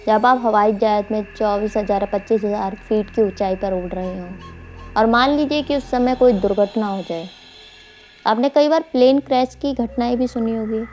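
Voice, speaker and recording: A woman's voice: on the high side, a little mellow. A woman narrating a mystery story for an online channel, informally addressing her listeners as host. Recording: faint background noise.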